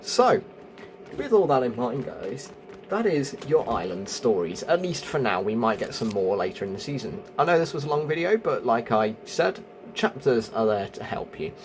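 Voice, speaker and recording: A man's voice: mid-range voice, big pitch swings, moderate pace, noisy background. A man giving casual game walkthrough commentary as a video host, explaining things and thinking aloud.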